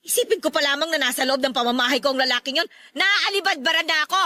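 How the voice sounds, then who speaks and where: Shrill, scolding Filipino mother. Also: fast, pushed and bright, no room sound, clean studio sound. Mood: angry, scornful. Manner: scolding, nagging, exclamatory. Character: angry, scornful, domineering middle-aged mother. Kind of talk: Tagalog radio drama acting.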